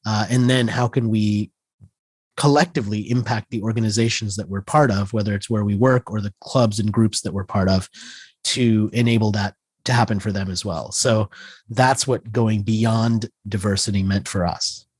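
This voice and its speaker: A man in his forties, a guest author, explaining his ideas in a measured way. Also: lowish voice, fairly even intonation, slightly rough, no room sound. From India, raised in the US. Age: 40s. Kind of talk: interview answers.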